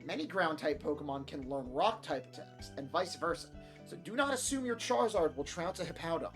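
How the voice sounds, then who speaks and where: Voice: mid-range voice, lively intonation, a little fast, a bit bright. A performer voicing a stern male science teacher, lecturing formally for a visual novel reading. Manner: formal, didactic. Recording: music behind the voice.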